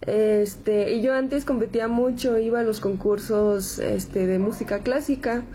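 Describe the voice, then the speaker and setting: A woman in her twenties from San Antonio, Texas, speaking Spanish with a Mexican accent as an interview guest, soft-spoken with many fillers. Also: on the high side, steady tone, a little slow, slight background noise. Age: 20s. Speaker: guest musician. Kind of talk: interview answers.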